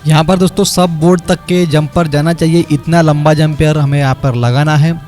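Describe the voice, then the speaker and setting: A man's voice: fairly high pitch, fairly even intonation, normal speed. A man, a phone repair technician narrating a step-by-step repair tutorial over his work. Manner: explanatory, step by step, addresses viewers as friends. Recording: quiet background music under voice-over. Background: light background music.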